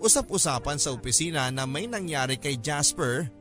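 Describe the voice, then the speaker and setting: A man's voice: on the high side, lively intonation, normal speed, fairly bright tone. A man narrating a scripted first-person story as a radio host, polite and conversational. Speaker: radio drama host reading a letter. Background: background music.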